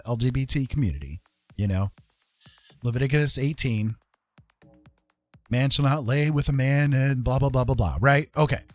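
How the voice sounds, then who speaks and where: A man with a medium-pitched voice hosts a casual livestream with music behind him. He speaks in an opinionated, conversational manner, leaning on key points and regularly checking that the listener follows. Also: dry recording. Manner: emphatic, conversational, often checking with "right?" and "okay?". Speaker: livestream host.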